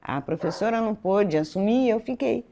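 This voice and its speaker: A woman's voice: mid-range voice, lively intonation, moderate pace, dark tone, slight background noise. A woman in her seventies from Pernambuco, Brazil, telling her life story in an interview, meandering and correcting herself as she goes. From Recife, Pernambuco, Brazil. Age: 70s. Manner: meandering, self-correcting storyteller who acts out dialogue. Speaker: French teacher and school director, guest.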